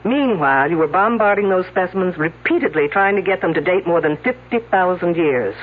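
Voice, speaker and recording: A woman's voice: on the low side, animated, a little fast, slightly rough. An actress playing a brash, shrewd woman detective in a radio drama, sardonic and commanding. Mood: accusing, wry. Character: brash, wisecracking female detective, shrewd and sarcastic. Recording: muffled old radio broadcast recording.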